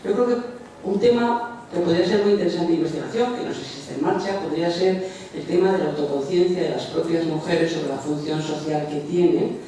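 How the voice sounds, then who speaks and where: A woman's voice: lowish voice, brisk, mellow, a little hiss or hum. An older woman in the audience offers a hesitant, thinking-aloud academic comment in a lecture hall. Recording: distant, very echoey lecture hall.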